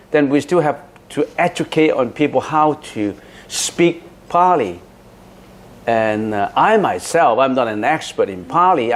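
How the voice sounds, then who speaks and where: A middle-aged man who speaks Chinese as his first language, a Buddhist monk answering audience questions in a casual, chatty way, often repeating words and laughing. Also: a little high. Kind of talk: Dharma question-and-answer talk.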